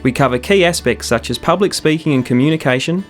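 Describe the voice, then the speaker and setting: A man's voice: on the high side, fast, soft background music. Man explaining a community program. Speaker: parks program officer. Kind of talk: documentary interview narration.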